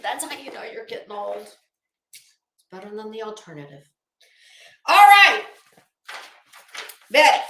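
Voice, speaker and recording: A woman's voice: a little high, pushed and bright, reverberant, poor audio. A middle-aged woman hosting a casual livestream, chatting in a rambling, gossipy and sarcastic way. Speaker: livestream host. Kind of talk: casual livestream commentary chat.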